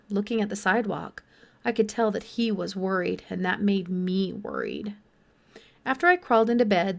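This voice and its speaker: A woman's voice: medium pitch, vocal fry. A woman reading a children's novel aloud as an audiobook narrator.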